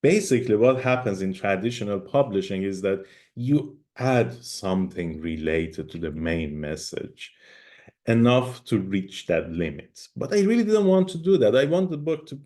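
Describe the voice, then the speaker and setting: A middle-aged man, a native Persian speaker, giving an online conference talk in an emphatic lecturing manner with pauses and fillers. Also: lowish voice, lively intonation, darkish tone. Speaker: guest speaker.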